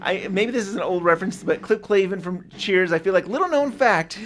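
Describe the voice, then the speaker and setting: A man in his forties co-hosting a casual podcast, an eager fan who talks in quick, enthusiastic bursts. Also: high voice, animated, a bit bright. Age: 40s. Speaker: podcast co-host.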